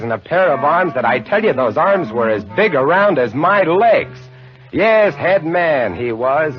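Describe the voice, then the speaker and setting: Dramatic lighthouse-keeper narrator, old broadcast sound, score underneath. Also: male voice, mid-range voice. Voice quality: dramatic, vivid storytelling. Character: lighthouse keeper recounting a horror, male, adult. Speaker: narrator and lead actor. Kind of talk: 1950 radio drama narration. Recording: dull old radio broadcast with music.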